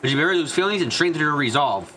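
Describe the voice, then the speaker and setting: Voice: medium pitch, lively intonation, fast, bright, forceful tone, a little hiss or hum, rough recording. A streamer doing a let's play, reading a visual novel's script aloud and stumbling over some words as they go. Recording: game music behind the voice. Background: game music.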